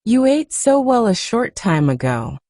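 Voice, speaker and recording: A woman voicing a grave, worried male doctor in a storybook, measured and formal. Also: on the high side, big pitch swings, warm tone, no room sound, studio quality, pitched above the performer's own voice. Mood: grave, concerned.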